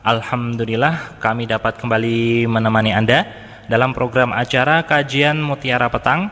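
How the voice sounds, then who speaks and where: A man hosting a religious radio program with measured, formal announcements. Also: mid-range voice, steady tone, a bit bright, long reverb tail. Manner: measured, formal opening greetings.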